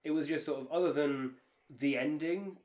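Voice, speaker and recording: A man's voice: fairly high pitch, normal speed, darkish tone, roomy sound. A man chatting casually as a podcast co-host. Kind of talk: casual chat.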